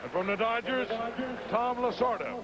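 A man announcing player introductions over a stadium public address system, speaking slowly with long pauses between names, recorded in an outdoor ballpark. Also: very high voice, expressive. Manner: slow, deliberate, drawn-out pauses between names. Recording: stadium PA with loud crowd noise, 1980 broadcast. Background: loud crowd.

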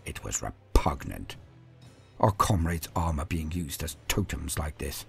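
A man's voice: extremely low pitch, sing-song, measured pace, gravelly, breathy edge, soft background music, voice pushed down, exaggerated voice. A man voicing a grim armoured space marine who recounts battle in a solemn, martial, disdainful storytelling manner. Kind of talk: first-person dramatic storytelling.

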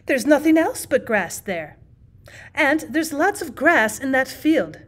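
A small, stubborn storybook boy , read by a woman. His voice is on the high side. He questions insistently and sounds petulant. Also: sing-song, slow pace, fairly bright tone, heavily acted.

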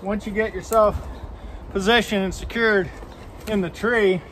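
An older man with a fairly high voice, chatting outdoors about a tool in a friendly, rambling way. He often stumbles and repeats words. Faint outdoor noise sits behind his voice on the camera mic. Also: steady tone, unhurried, fairly bright tone. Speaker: vlogger reviewing a tool. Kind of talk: casual product demo. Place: outdoors on a farm field.

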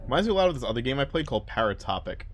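A man's voice: medium pitch, sing-song, rapid-fire, faint music behind. A man doing casual playthrough commentary as a gaming host, thinking aloud and talking back to game characters. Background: game ambience.

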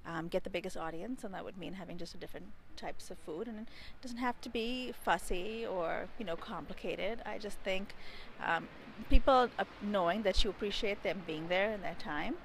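A woman in her thirties, from India and raised in Dubai, speaks outdoors beside a building into a handheld microphone held close. She answers casually, pausing often as she searches for words. Her pitch sits mid-range, with a faint hiss of background noise. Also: poor audio. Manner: thoughtful, hesitant, pauses to find words. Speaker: interviewee. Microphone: handheld mic held close.

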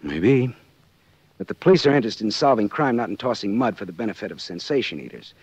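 An actor playing a sardonic, glib American hard-boiled private detective in 1940s radio style. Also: male voice, fairly low pitch, sing-song, fast talker, husky, no echo. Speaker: lead actor and narrator. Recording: muffled, lo-fi 1940s radio recording.

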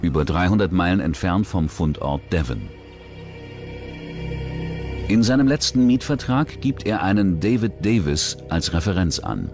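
Tiefer, lebhaft betonender Erzähler. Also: male voice, sits low, big pitch swings, measured pace, darkish tone, soft background music. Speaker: documentary narrator. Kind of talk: scripted true-crime narration.

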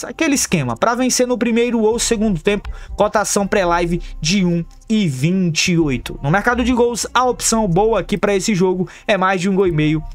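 A Brazilian-accented man with a notably high voice runs through football bets over a screen recording. He talks in a quick, chummy way, calling his viewers "family" and "the crew". Soft music hums quietly behind him. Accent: Brazilian Portuguese. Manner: upbeat, chummy, calls viewers "rapaziada" and "família". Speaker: football betting tipster.

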